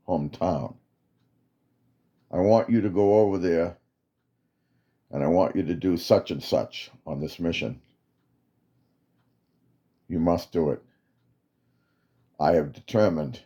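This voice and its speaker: A man voicing a stern male military commander who gives slow, emphatic orders. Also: a bass voice, warm tone, highs cut off.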